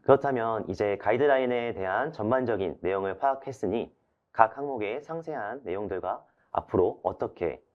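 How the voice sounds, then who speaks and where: A man's voice: lowish voice, dull audio. A man hosting a webinar in a polite, formal, scripted way, summing up and posing questions. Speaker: webinar host, moderator. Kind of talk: scripted moderated webinar talk.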